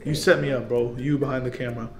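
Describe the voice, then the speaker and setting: A man's voice: medium pitch, steady tone, quick pace, music in the background. A man in his twenties with an American accent, chatting casually and jokingly in slangy vlog style. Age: 20s. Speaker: vlogger and host. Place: supermarket, then large home kitchen. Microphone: camera a few feet away. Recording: roomy echo from camera distance.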